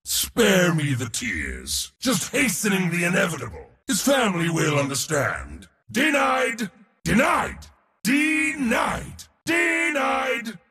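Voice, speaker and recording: An actor playing an arrogant, bombastic god of war in a video game, declaiming and gloating in a booming theatrical voice. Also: male voice, wildly swooping pitch, slow, pushed and bright, raised voice, long reverb tail, exaggerated voice. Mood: smug mockery. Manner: booming, declamatory, gloating, short battle cries.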